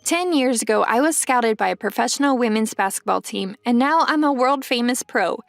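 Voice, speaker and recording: A tall young female basketball pro telling her own story in a manga dub. Her voice sits fairly high, that of a once-insecure girl looking back in first-person narration. Music plays softly behind her. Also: animated, fairly quick, creaky, no echo. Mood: reflective.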